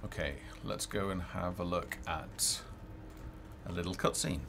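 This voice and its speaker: A man's voice: deep voice, steady tone, measured pace, gravelly, noisy background. A middle-aged man giving casual live commentary on a game he develops, hesitant and thinking aloud as he plays, on a headset. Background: game music.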